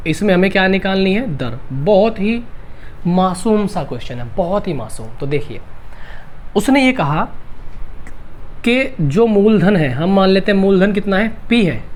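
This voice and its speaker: A man teaching an exam-prep math lesson, with a slightly raised voice and a sore throat. He walks through each step slowly and answers his own questions. Faint noise hums under the recording. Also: high-pitched, expressive. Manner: repeats key steps, asks and answers his own questions. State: sore throat, clears throat and coughs.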